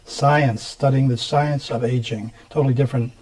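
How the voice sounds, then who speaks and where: A man's voice: mid-range voice, fairly even intonation, normal speed, darkish tone, low-quality recording. A man hosting a community TV interview, asking rambling questions and backing the guest with frequent mm-hmms. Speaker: TV show host. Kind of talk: studio interview. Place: TV studio.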